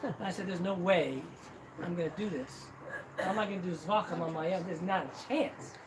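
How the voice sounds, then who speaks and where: A man's voice: high voice, a little fast. A middle-aged man from Ohio telling a self-deprecating, joking personal story to a crowd. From Cincinnati, Ohio, US. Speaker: speaker at a celebration. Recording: distant mic, noisy hall.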